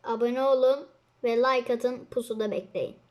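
A young girl with a very high voice hosts a gaming session. She talks casually and excitably, commenting on the play as it goes. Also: moderate pace. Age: child. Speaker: host of a gaming channel.

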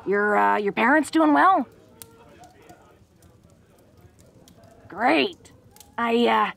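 A woman voicing a tough but awkward, smitten orc girl in an intimate romantic audio roleplay, stammering bashfully in informal, rough-and-ready speech. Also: a little high, fairly bright tone, heavily acted. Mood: fond, bashful.

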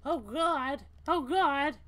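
An anxious, weary young adventurer played by a woman in a fan-voiced game. The voice is very high, with a blunt, hesitant delivery. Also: extremely slow, a bit bright, full character voice. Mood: panicked. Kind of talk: amateur game character voice-over.

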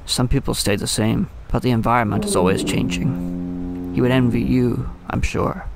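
An actor playing a lonely, brooding older lighthouse keeper in an audio drama, speaking in a wistful, poetic storyteller's way. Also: male voice, medium pitch, normal speed, creaky, close to an everyday voice. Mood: melancholy. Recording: music under the voice.

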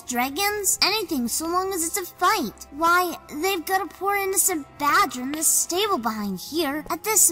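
A woman's voice: very high-pitched, bright, forceful tone, heavily acted. A woman playing an earnest, outspoken young village boy in an audiobook drama, with chatty, old-fashioned phrasing. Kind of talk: amateur audiobook drama acting. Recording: long hall reverb, faint music.

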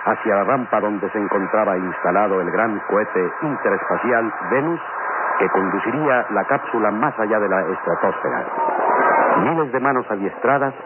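A man with a lowish voice delivers dramatic scripted narration for a vintage radio serial. He is solemn and declamatory. The sound is tinny and buried in heavy noise. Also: moderate pace. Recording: tinny, very noisy old radio recording.

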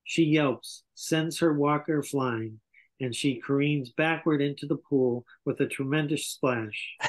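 A man's voice: a little high, measured pace, poor audio. A man in his sixties reading scripted stage directions as narrator at an online table read. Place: small home room, indoors, on a video call. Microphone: computer video call.

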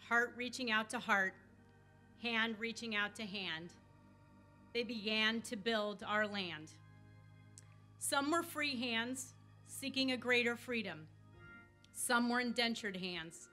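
A middle-aged woman recites verse in a fairly high, bright voice. She moves slowly from phrase to phrase over faint music. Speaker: community poetry reader. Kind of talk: solemn poetry recitation. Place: indoor event room, at a podium. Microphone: podium microphone on a stand.

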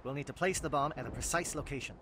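An actor playing a precise, formal alien captain in a video game, brisk and clipped. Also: male voice, medium pitch, lightly acted. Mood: businesslike. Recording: noisy game ambience behind.

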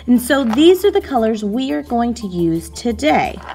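A woman art teacher giving a slow, patient, step-by-step lesson to children over a video of her hands at work. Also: on the high side, very animated, dark tone, faint music behind.

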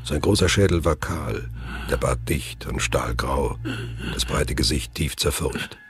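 A man's voice: very deep voice, unhurried. A man giving scripted narration for a thriller audio drama. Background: music.

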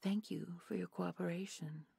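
Woman as creaky, breathy, mock-sweet female villain. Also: on the low side, fairly even intonation, dark tone, no room sound, exaggerated voice. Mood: smugly sweet. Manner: taunting, falsely sweet, unhurried. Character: menacing, mock-polite supernatural female villain in command. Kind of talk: audio roleplay acting.

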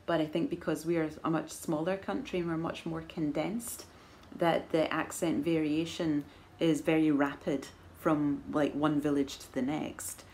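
A woman in her forties with a soft Edinburgh Scottish accent, chatting to camera at home and answering viewer questions in a thoughtful, measured way with gentle laughs. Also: low voice, steady tone, slight background noise. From Scotland. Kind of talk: casual chat to camera. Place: living room at home, indoors. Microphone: camera in front at arm's length.

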